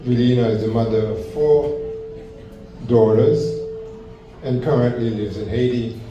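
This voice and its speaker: A man moderating a forum and introducing a panelist to an audience in a halting, hesitant delivery. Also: mid-range voice, dull audio, faint music behind. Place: large echoey indoor event room. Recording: echoey room from far off, faint noise.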